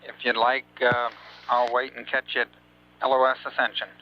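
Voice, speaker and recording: A man's voice: medium pitch, little pitch movement, normal speed. A man chatting in casual but procedural phrases over a radio. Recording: dull radio link with faint hum.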